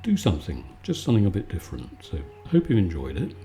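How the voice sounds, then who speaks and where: A man giving a calm, soothing, conversational bedtime chat in a relaxed ASMR style. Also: low-pitched, quick pace, faint music behind. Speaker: video maker and host.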